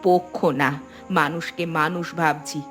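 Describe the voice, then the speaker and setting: A woman's voice: low voice, flat delivery, measured pace, a bit bright. A woman voicing a principled village woman in a cartoon, speaking in an earnest, moralizing way. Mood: reproving. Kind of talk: Bengali cartoon dialogue. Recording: music behind the voice.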